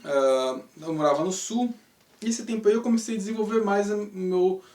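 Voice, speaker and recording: A man's voice: high voice, moderate pace, a little mellow. A man in his thirties from Brazil with a Brazilian Portuguese accent, hosting a casual monologue that rambles with rhetorical questions and tag questions. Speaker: channel host, English teacher. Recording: roomy sound, mic at a distance.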